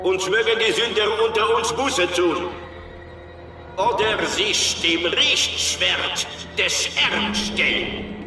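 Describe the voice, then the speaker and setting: An actor playing a solemn, measured old excommunicated priest in a video game, grave and quietly vengeful. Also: male voice, high voice, music in the background. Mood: solemn preaching. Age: older. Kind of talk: scripted video game dialogue.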